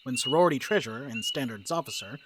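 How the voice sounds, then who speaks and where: A man's voice: mid-range voice, animated, quick pace, noisy background. A man reading scripted narration for a dramatic reading. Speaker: narrator reading stage directions.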